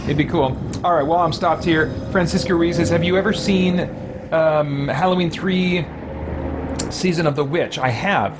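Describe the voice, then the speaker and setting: A man's voice: on the high side, normal speed, music in the background. A man giving casual, rambling and thoughtful gameplay commentary as a streamer. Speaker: gaming streamer.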